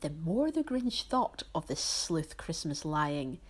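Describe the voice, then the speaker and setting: A woman narrates a rhyming storybook in a fairly low register. Her delivery is theatrical and very animated, with wide swings in pitch and stretched-out emphasis. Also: slow pace.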